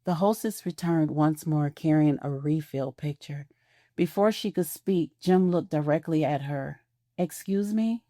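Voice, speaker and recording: Brief: female narrator, low and mellow, measured, dry studio. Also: no echo. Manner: measured storytelling. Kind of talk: scripted audio drama narration.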